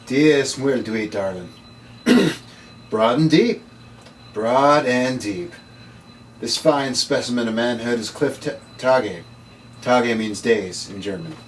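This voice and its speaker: A man's voice: mid-range voice, animated, takes it slowly, pauses often. A man playing a dry, world-weary occult investigator in a noir script table read. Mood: suave. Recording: distant mic, noisy room.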